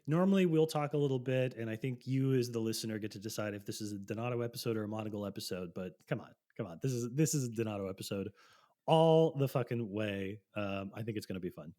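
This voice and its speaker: A man co-hosting a podcast in casual chat, playful and swearing freely, his voice lowered by a lingering cold. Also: fast talker, warm tone. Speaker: podcast co-host.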